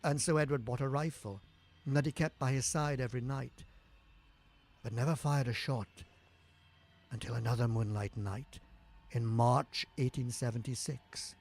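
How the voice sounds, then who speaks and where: A man's voice: mid-range voice, fairly even intonation, moderate pace, darkish tone. A man narrating a scripted gothic horror tale in a theatrical, suspenseful storytelling manner with swelling emphasis. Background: music.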